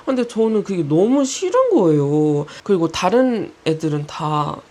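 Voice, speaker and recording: 20-something woman telling her life story, lowish voice that swings up and down a lot, chatty candid vlog. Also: a little slow. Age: 20s. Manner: candid, chatty, animated retelling with quoted asides. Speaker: YouTuber. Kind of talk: casual storytelling about her own life.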